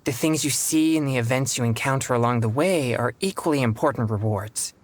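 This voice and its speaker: A man's voice: medium pitch, natural voice. Earnest young male exorcist played by a man. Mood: sincere, grateful. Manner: earnest, formal, measured. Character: earnest, polite teenage boy exorcist. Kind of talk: video game voice acting.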